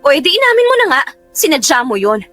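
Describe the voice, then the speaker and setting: A woman's voice: high-pitched, very animated, fast talker, fairly bright tone. Guarded young Filipino woman, music underneath. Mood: upset, accusing. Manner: emphatic, nagging. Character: guarded, sensitive young woman, quick to scold. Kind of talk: Tagalog radio drama acting. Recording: music bed under dialogue.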